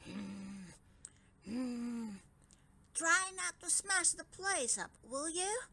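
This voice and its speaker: A woman's voice: sits high, expressive, very slow, bright, forceful tone, breathy edge, pitched above the performer's own voice, full character voice. A woman voicing a mild, doubtful, short-sighted old dragon king in a children's storybook, pondering and humming as he talks. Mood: worried, cautioning.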